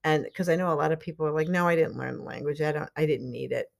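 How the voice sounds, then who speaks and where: Low-voiced chatty woman podcaster. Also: fast talker, gravelly. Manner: chatty and encouraging, laughs often. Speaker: podcast host and life coach. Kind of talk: casual solo podcast talk.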